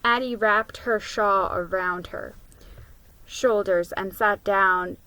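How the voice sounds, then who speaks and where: A woman doing a dramatic reading of a children's book, in a deliberate way. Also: medium pitch, fairly even intonation, pushed and bright, low-quality recording. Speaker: vlogger reading books aloud.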